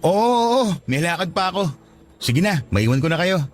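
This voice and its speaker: A man's voice: high-pitched, big pitch swings, moderate pace, soft background music. An actor playing a friendly, easygoing young male co-worker with a Filipino accent in a radio drama. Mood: polite, hurried.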